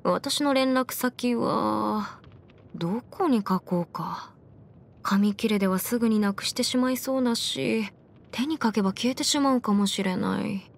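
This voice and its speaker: A woman's voice: on the high side, unhurried, vocal fry. A woman voicing a confident, bookish young female legal expert in a video game, speaking in a self-assured, formal, lecturing way. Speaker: video game character voice actor. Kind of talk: scripted anime-style game character monologue.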